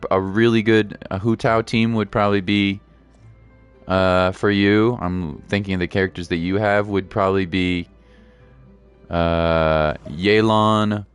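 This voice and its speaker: A man's voice: fairly low pitch, fairly even intonation, a little slow, clean tone, faint music behind. A man streaming a game and chatting casually with viewers, slangy and reassuring, repeating his points for emphasis. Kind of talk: casual livestream chat with viewers.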